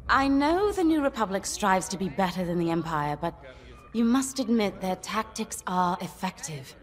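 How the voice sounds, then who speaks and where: An actress playing a shrewd, thoughtful starfighter pilot in a video game, articulate and deliberate. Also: female voice, medium pitch, very animated, in a large hall, natural voice. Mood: wry, thoughtful. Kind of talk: video game character dialogue.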